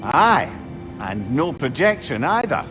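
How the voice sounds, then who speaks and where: An actor playing a witty, warm older companion in a video game, with a Scottish accent and a wry, conversational storyteller's manner. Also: male voice, medium pitch, big pitch swings, slow pace, over music. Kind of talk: dramatic video game acting.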